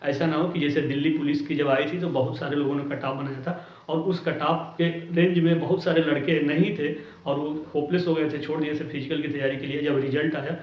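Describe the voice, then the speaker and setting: A man's voice: fairly high pitch, steady tone, fairly quick. A man giving an informal explanatory lesson as an exam coaching teacher, often checking in with short tag words. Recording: roomy, distant mic, dull lo-fi sound.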